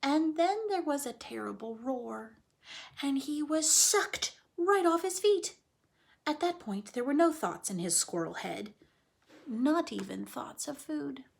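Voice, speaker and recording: A woman reading a children's story aloud dramatically, stretching key words and dropping into hushed, chatty asides. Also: high voice, expressive, slow, creaky.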